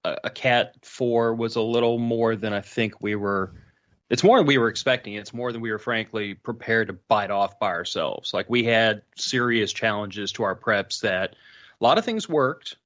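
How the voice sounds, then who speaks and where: A man's voice: fairly low pitch, normal speed, fairly bright tone, highs cut off. A middle-aged man explaining his experiences casually, in a frank, folksy way. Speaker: podcast guest, prepper.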